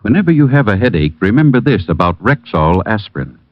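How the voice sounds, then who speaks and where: Low-voiced vintage radio ad man. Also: big pitch swings, brisk, dry recording. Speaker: sponsor's commercial announcer. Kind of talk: scripted vintage radio advertisement. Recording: dull, dry vintage radio recording.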